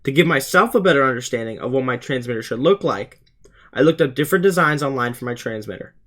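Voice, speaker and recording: A man's voice: medium pitch, expressive, fast talker, fairly bright tone. A teenage male student narrating a scripted explainer for his video channel, earnest and plainly presented. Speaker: student YouTuber presenting a school project.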